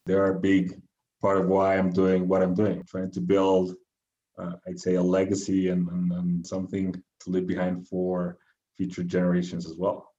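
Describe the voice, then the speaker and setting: A man's voice: deep voice, completely flat delivery, moderate pace, dark tone, dull audio. A man in his thirties from Mexico, answering interview questions casually with many fillers and restarts. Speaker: guest, venture capital founder.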